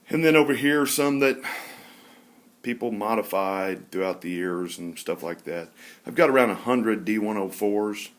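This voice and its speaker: A man narrating an informal show-and-tell tour as a hobbyist collector, in a halting, matter-of-fact way. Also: fairly low pitch.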